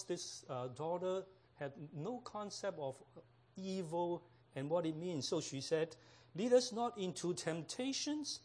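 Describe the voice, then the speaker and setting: High-voiced slow-talking pastor from Hong Kong. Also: male voice, a little mellow. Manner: unhurried, laughs at own jokes, frequent fillers. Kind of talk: sermon with humorous stories.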